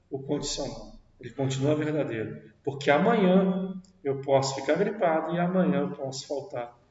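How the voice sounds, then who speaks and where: A man with a Rio de Janeiro accent teaches a logic class. He talks in a lively way, asks the class questions and answers them himself. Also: fairly high pitch. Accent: Rio de Janeiro Portuguese. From Brazil. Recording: echoey classroom, distant dull mic.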